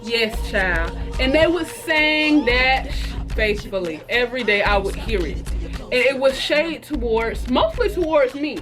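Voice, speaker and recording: A woman with a fairly high voice tells a casual story to her viewers. She is sassy and slangy, stretching words for emphasis and slipping into mimicry. Music plays clearly behind her. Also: animated, measured pace. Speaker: storytime vlogger. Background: background music.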